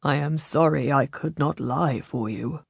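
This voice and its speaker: A woman voicing a pious older churchman in an audiobook, grave, measured and gently stern. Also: sits low, vocal fry, breathy edge, no echo, clean studio sound, voice pushed down, exaggerated voice. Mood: solemn.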